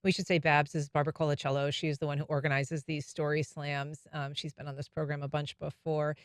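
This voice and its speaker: Low-pitched female radio host. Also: level tone, fast, dry recording, clean studio sound. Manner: smooth, curious, conversational. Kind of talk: public radio interview. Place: radio studio.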